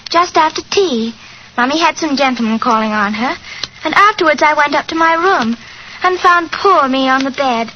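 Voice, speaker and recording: High, bright little British girl. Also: expressive, normal speed. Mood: frightened, earnest. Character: earnest, innocent little English girl. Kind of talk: 1940s radio drama acting. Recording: muffled 1940s radio recording, faint noise.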